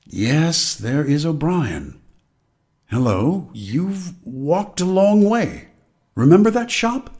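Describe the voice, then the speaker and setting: A man's voice: fairly high pitch, very animated, slow, a little mellow, breathy edge, exaggerated voice. A man playing an ominous, mocking narrator and inner voice in an audio drama, dramatic and portentous. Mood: mocking, goading.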